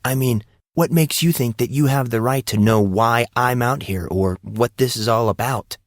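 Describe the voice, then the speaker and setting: A man's voice: mid-range voice, moderate pace, dry recording, studio quality, lightly acted. A man voicing a tense, guarded, sarcastic teenage boy with a wry, defensive way of talking in an audiobook. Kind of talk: audiobook drama reading.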